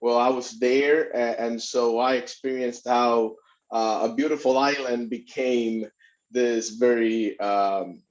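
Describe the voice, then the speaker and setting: Middle-aged man, halting interview guest, mid pitch, dull recording. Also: fairly even intonation. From Puerto Rico. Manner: halting, many restarts and fillers. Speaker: immigration attorney and guest. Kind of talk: conversational interview. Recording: dull lo-fi sound.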